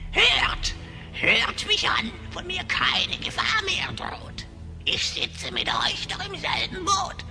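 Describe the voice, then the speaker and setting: A man's voice: extremely high pitch, wildly swooping pitch, extremely slow, pushed and bright, full character voice. A man playing a sly, cackling hyena spy who speaks in theatrical rhymes in a fantasy radio play. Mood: wheedling. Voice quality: creaky. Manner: theatrical rhyming speech, breathy cackles. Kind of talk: German children's fantasy radio play acting. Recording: voice over music.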